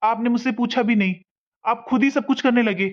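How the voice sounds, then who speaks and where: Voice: mid-range voice, fairly even intonation, a little fast, close to an everyday voice. An actor playing a defiant young newlywed son in a cartoon family melodrama, earnest, firm and insistent. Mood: hurt, frustrated. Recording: dull lo-fi sound.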